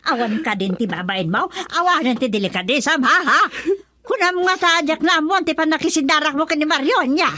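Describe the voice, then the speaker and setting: A woman's voice: sits high, animated, a little fast, sharp tone, no echo. A Filipino woman playing a fiery, scornful older relative in a radio drama, scolding in an exclamatory, melodramatic way. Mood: outraged, scornful.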